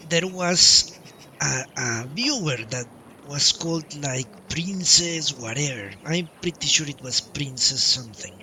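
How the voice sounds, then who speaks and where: A man streaming a video game, telling a long story casually in a halting, drawn-out way. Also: a little high, lively intonation, pushed and bright, a little hiss or hum, rough recording. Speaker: game livestreamer. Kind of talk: casual rambling storytelling while playing a game. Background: video game music.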